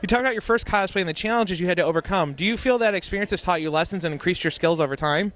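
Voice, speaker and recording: A cheerful male interviewer at a convention with a notably high voice. He talks fast and moves briskly from one question to the next. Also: a bit bright. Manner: quick and cheerful, says "alrighty" between questions. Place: at a fan convention.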